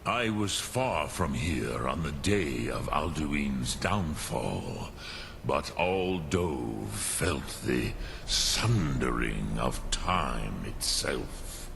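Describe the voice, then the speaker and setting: A man playing an ancient, wise male dragon in a fantasy video game, speaking in a solemn, measured, contemplative manner. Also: very deep voice, sing-song, gravelly, a bit bright, a little hiss or hum, exaggerated voice. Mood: grave, regretful. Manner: measured, solemn, philosophical, weighing each phrase. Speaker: mentor, master of an order. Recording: game music behind the voice.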